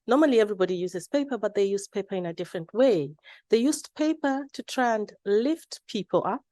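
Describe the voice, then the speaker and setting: A woman's voice: mid-range voice, expressive, brisk, dark tone, dry recording. Woman presenting an award, dry sound. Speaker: ceremony presenter. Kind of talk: semi-scripted award introduction. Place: award ceremony stage.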